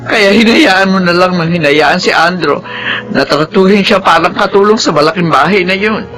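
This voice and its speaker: A woman acts out an elderly grandmother in a Filipino radio drama, stern and protective of her family. Her delivery is firm and reproachful, with music clearly behind it. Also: on the low side, moderate pace, fairly bright tone, natural voice.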